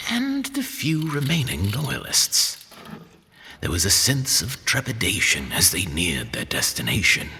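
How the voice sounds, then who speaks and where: A man playing a solemn old archivist who tells of an epic war in a measured fantasy audio drama style. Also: lowish voice, sharp tone, big-room sound, a little hiss or hum, full character voice.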